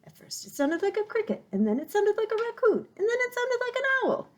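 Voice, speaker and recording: Lady doing a high shrill bossy owl, loud and hooty, swoops all over the place. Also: big pitch swings, brisk. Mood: excited.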